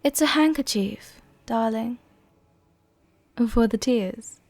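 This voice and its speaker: A woman playing a worldly, softly dominant vampire countess in an intimate roleplay ASMR, with a soft, gentle, tenderly commanding way of speaking. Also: fairly high pitch, slow, dry recording, soft background music. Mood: tender, comforting.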